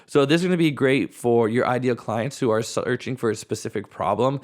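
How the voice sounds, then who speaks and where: Casting note: hoarse, raspy man, loose and chatty solo podcaster, mid-pitched voice. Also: fairly quick. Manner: casual, rambling, thinks aloud with restarts. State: hoarse from a cold. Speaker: podcast host. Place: at home.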